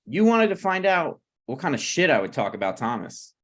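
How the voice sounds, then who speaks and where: A man's voice: on the high side, big pitch swings, brisk. A man reading the part of a flippant, needling, self-deprecating screenwriter in a conversational comedy table read. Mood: awkward banter.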